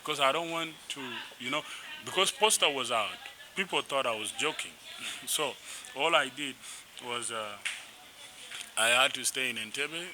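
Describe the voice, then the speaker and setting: Animated South Sudanese man telling stories. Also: medium pitch, a little slow. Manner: animated, rambling, frequent restarts. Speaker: musician guest. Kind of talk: casual interview storytelling. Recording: noisy background.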